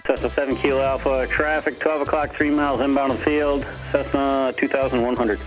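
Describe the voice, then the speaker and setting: A man's voice: mid-range voice, level tone, a little fast, rough recording. A male air traffic controller giving clipped, routine radio calls heard through a radio transmission. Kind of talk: radio phraseology. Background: music.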